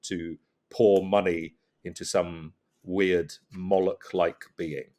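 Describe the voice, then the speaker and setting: A man's voice: sits low, little pitch movement, slow, a little mellow. A man guesting on a comedy podcast, riffing in a rambling, mock-flustered, absurd way. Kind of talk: comic riffing and banter.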